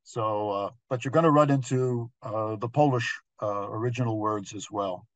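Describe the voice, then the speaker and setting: A man's voice: lowish voice, moderate pace, no room sound. A man giving an informal slide lecture in a hesitant, explanatory, conversational way. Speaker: guest lecturer on genealogy.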